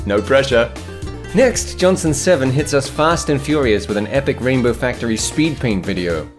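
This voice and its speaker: A man's voice: mid-range voice, lively intonation, moderate pace, soft background music. A middle-aged man narrating a showcase video as host, in a playful, jokey and theatrical scripted style. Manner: jokey, wry, theatrical phrasing. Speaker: host presenting viewer submissions.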